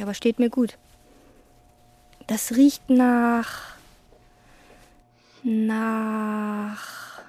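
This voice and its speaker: An actress playing a withdrawn young hotel chambermaid who answers in flat, clipped phrases. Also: female voice, on the high side, heavily acted. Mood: murmuring. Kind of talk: radio play acting with inner monologue.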